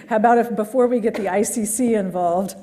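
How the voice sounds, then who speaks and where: A woman's voice: mid-range voice, normal speed, warm tone. A woman moderating a panel, conversational with many fillers.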